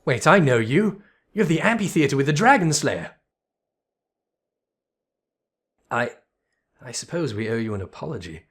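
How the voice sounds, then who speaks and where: A man's voice: medium pitch, voice pushed up, lightly acted. A man voicing a solemn, repentant heretic in a fantasy game, speaking earnestly in formal archaic phrases. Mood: apologetic.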